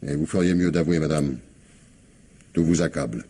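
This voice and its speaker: An actor playing a shrewd, methodical police commissioner in a French radio crime drama, with a husky voice and an insistent manner. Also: male voice, rumbling low, normal speed, mellow. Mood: insistent, accusing. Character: shrewd, relentless male police commissioner making accusations. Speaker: actor in an audio mystery play.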